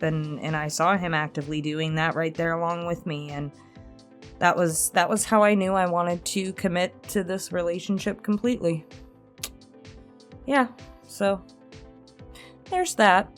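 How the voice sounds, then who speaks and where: A woman's voice: on the low side, normal speed. A woman in her late twenties chatting candidly and casually as she answers subscriber questions, rambling through personal stories. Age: 20s. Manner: candid, rambling storytelling with small chuckles. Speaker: ASMR channel host answering subscriber questions. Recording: music playing behind the voice. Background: instrumental music.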